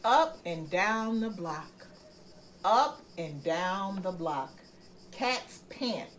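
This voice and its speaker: Woman reading a kids bedtime story real slow and soft, faint hum in the room. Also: lowish voice, lively intonation. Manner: slow, gentle reading to children. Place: home room with plants on the table.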